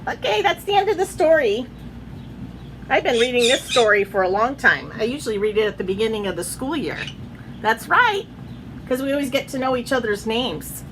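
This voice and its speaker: A woman reading a children's picture book aloud in an expressive storytelling manner, chatting in asides to her pet. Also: a little high, normal speed, slight background noise, low-quality recording. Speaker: read-aloud host, teacher.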